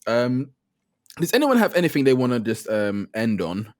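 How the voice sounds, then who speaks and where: Chill guy hosting a video call, low voice that bounces around a lot, dry sound. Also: very animated, moderate pace, darkish tone, no room sound. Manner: laid-back, teasing. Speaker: host. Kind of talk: casual video call chat.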